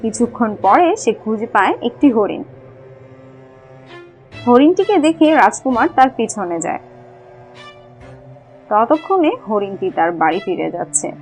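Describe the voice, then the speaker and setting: A woman's voice: a little high, sing-song, measured pace, long pauses, darkish tone, faint music behind. A woman narrating a scripted fairy-tale cartoon as a storyteller.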